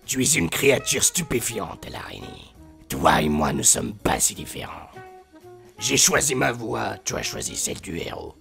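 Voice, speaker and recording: A man voicing a mocking, menacing comic-book film supervillain with a low gravelly voice. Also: wildly swooping pitch, normal speed, a bit bright, faint music behind, full character voice.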